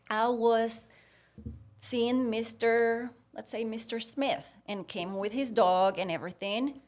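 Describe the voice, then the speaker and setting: Female podcast guest, native Spanish speaker with Puerto Rican accent, medium pitch, conversational storyteller who voices both sides of exchanges. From Puerto Rico. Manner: animated retelling with acted-out dialogue.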